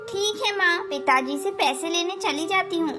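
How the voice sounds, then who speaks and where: A woman's voice: extremely high pitch, normal speed, music in the background. A woman voicing a dutiful young daughter bird in a cartoon story, earnest and concerned.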